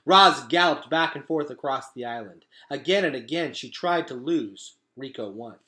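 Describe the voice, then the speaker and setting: A man narrating a children's storybook aloud in a dramatic, emphatic way, dropping to a hush at times. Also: medium pitch, moderate pace. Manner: dramatic, emphatic delivery with hushed drops.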